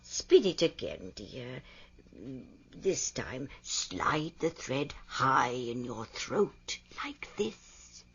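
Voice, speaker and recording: An actress playing an old woman weaver in an audio drama, a patient but stern motherly mentor who instructs in a hushed, deliberate way. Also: extremely low pitch, big pitch swings, husky, dull audio, full character voice. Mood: patient, instructing.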